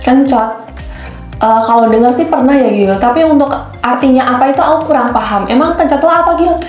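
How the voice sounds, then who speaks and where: A woman's voice: high voice, fairly quick, a little mellow, echoey room, soft background music. A young woman with a Solo-Yogya Javanese accent, co-hosting an educational podcast and asking curious follow-up questions. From Central Java. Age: young adult. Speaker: podcast co-host, intern student. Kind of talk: scripted educational chat.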